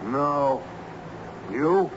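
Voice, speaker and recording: Determined lawyer, brisk manner, old-time radio drama, muffled sound, heavy noise. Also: male voice, a little high. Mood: urgent. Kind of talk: vintage radio drama acting.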